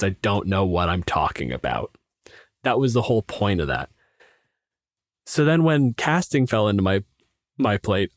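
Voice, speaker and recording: Young man, mid-pitched voice, casual co-host banter, self-deprecating storyteller, dry recording. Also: dry recording. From Canada. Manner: self-deprecating, sweary, animated storytelling with quoted asides. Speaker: podcast co-host and game master. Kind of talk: casual podcast chat.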